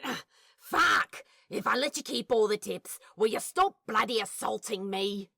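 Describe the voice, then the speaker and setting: A woman voicing an evasive, lovestruck, sweary bartender in a halting manner for a fan fiction audio drama. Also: on the high side, expressive, vocal fry, fairly bright tone, no room sound, studio quality, heavily acted. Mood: pained, exasperated.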